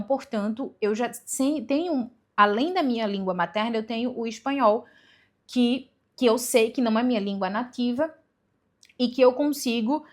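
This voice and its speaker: A young woman, giving casual advice to camera in a chatty way, with rhetorical questions and self-corrections. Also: on the high side. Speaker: vlogger giving language-learning tips.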